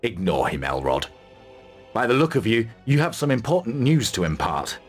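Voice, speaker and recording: An actor playing a resolute, grimly determined mercenary army commander in a video game, measured and authoritative. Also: male voice, medium pitch, big pitch swings, slightly rough. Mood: dry, brisk. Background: music.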